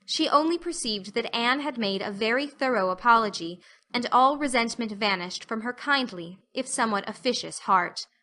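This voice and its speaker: A woman narrates a classic novel as a scripted audiobook. Her voice sits somewhat high and has a bright, forward tone. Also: animated, normal speed.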